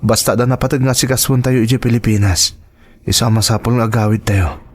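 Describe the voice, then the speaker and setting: A man's voice: mid-range voice, steady tone, fairly quick, lightly acted. A man with a Filipino accent playing a concerned young grown son in an audio drama, earnest, firm and respectful. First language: Ilocano.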